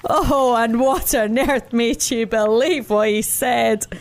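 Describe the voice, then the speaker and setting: Woman voicing a slow, sensible big brown bear. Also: on the high side. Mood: sceptical. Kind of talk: children's storybook character voice.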